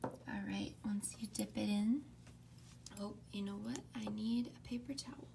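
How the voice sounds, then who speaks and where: A young woman hosting a calm ASMR painting tutorial, speaking softly and often slipping into whispers. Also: mid-range voice, creaky, slight background noise. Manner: soft, often whispered, unhurried. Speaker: art tutorial host. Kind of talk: soft-spoken ASMR drawing tutorial.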